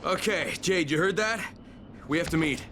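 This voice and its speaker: A man playing a gruff, defiant and determined undercover operative in a video game. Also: fairly high pitch, normal speed, noisy background, close to an everyday voice. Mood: urgent. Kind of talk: video game cutscene acting.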